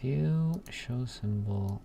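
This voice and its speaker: Male coding streamer, casual and muttering, unhurried delivery with a dark, mellow tone, keyboard clicks behind. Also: medium pitch, expressive, gravelly. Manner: muttering to himself, murmured asides with sighs and hums. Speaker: programmer live streamer. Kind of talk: casual thinking aloud while coding. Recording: noisy room with keyboard clicks. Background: computer keyboard typing and clicking.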